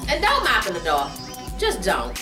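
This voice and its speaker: A woman's voice: medium pitch, huge pitch swings, moderate pace. A woman telling a casual comedic family story in an exaggerated way, repeating phrases for emphasis. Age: adult. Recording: roomy, distant mic, music behind. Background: background music.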